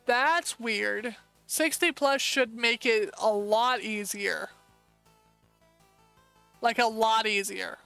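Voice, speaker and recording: A woman with an American accent, casually chatting through a live stream and thinking aloud with quick asides. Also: on the high side, creaky, sharp tone, clear, resonant tone, faint music behind. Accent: American English.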